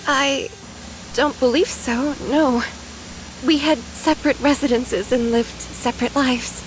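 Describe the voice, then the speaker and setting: An earnest, high-voiced, saintly young lady. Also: fairly even intonation, slow pace, slight background noise, low-quality recording. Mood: hesitant, puzzled. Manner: polite, precise, earnest. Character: earnest, proper, saintly young woman, easily flustered. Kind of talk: video game voice acting.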